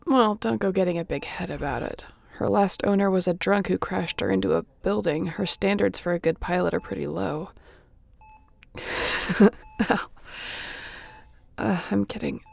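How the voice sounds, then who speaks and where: A woman playing a wounded starship captain in a sci-fi audio roleplay, wry and caring, speaking haltingly and softly with a breathy, gravelly voice. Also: on the low side. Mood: teasing. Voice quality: gravelly, often breathy and whispery.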